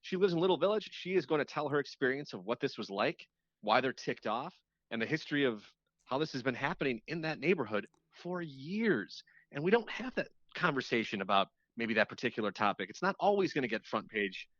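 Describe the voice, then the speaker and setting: A man with a mid-pitched voice talks casually as an interview guest, circling back to rephrase as he explains. The sound is dry and somewhat dull, like a muffled lo-fi connection. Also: sing-song, a little fast, a bit bright, dry recording. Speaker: radio show host appearing as guest.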